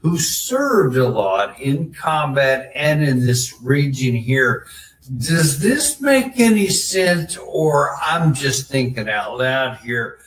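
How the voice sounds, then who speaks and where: A man's voice: mid-range voice, sing-song, very slow. A man hosting a conversational interview, heard in a roomy recording at some distance from the microphone. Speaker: show host.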